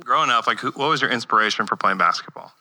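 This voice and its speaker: A man hosting a sports podcast, asking questions in a relaxed, easygoing way and nodding along with short replies. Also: mid-range voice, fast, no echo, low-quality recording. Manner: easygoing, asks with short affirmations. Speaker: podcast host. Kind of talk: casual sports interview.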